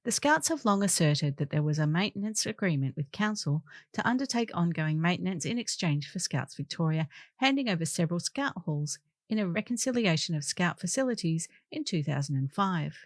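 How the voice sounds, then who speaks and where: A woman's voice: on the low side, animated, brisk, few pauses, no room sound, clean studio sound. A woman reading local newspaper articles aloud as scripted narration. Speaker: volunteer newspaper reader.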